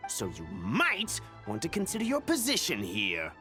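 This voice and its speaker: A crooked game merchant, smug and indignant. His bright, sharp voice swings dramatically in pitch as he haggles with theatrical scorn. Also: wildly swooping pitch, normal speed, soft background music. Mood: smug, scornful. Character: indignant, pedantic-hating crooked male merchant, scheming and smug. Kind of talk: video game character acting.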